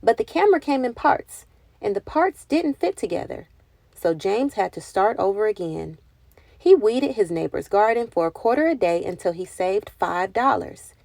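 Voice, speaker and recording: A woman reading a picture book aloud for children, with expressive, deliberate phrasing and dramatic emphasis. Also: medium pitch, gravelly, dry recording. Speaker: children's read-aloud narrator. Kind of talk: expressive storybook narration for children.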